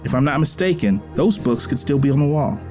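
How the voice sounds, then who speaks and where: A man playing a warm, teasing middle-aged father in an audio drama, encouraging and gently lecturing. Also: medium pitch, expressive, a little fast, faint music behind, natural voice. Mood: teasing, self-satisfied.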